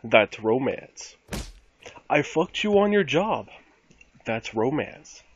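A man giving a mock-dramatic spoken reading of rap lyrics, theatrical, with deliberate pauses between short phrases. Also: medium pitch, big pitch swings. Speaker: performer of dramatic readings in an online game. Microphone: computer mic over game voice chat. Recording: muffled, noisy game voice chat.

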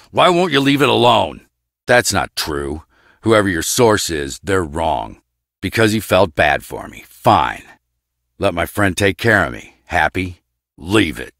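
An actor playing a gruff, guarded, brooding scientist in a video game, with a terse, clipped, deadpan delivery. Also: male voice, low-pitched, no echo, studio quality, exaggerated voice. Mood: agitated, then curt, guarded.

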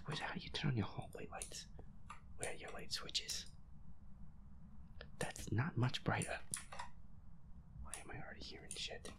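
A man muttering feisty, sweary commentary to himself as he plays a horror game, in a breathy voice. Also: fairly low pitch, low-quality recording. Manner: feisty, grumbling, swears constantly. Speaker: gamer playing a horror game as audio roleplay character. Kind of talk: hushed gaming commentary, muttering to self.

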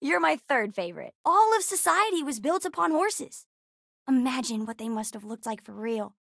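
High-voiced, sassy, bookish young girl. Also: very animated, moderate pace, gravelly, fairly bright tone, no room sound, studio quality, exaggerated voice. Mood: playful, curious. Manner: earnest, chatty, precocious. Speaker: video game voice actress. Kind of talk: video game character dialogue.

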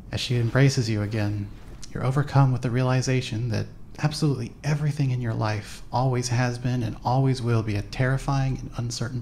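A man's voice: mid-range voice, a little mellow, slight background noise, lightly acted. A man reading scripted second-person narration for a fan-made audio drama.

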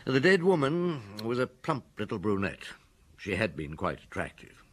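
A middle-aged police detective in a radio drama. His voice is fairly low and rough-edged, with a lively, varied pitch. He is dry, brusque and probing. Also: moderate pace. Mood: dry, reflective. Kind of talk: radio drama acting and first-person narration.

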